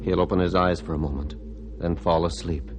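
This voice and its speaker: An actor playing a solemn, humble surgeon with a grave, deliberate delivery in an old-time radio drama. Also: male voice, deep voice, steady tone, very noisy, natural voice. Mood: tense, worried. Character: solemn, humble male surgeon regaining faith in himself.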